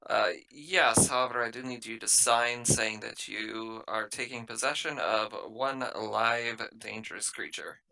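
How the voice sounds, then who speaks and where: A man's voice: medium pitch, measured pace, hardly any pauses, sharp tone. A man playing a tabletop game, bantering casually in a wry, joking way on a noisy recording. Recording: clearly noisy audio with clicks.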